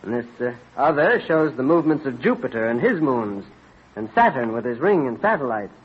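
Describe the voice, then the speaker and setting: An actor playing an earnest, absorbed colonial astronomer husband in an old-time radio drama, explaining eagerly with mild hesitations. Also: male voice, mid-range voice, sing-song, brisk, breathy edge. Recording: dull vintage radio recording.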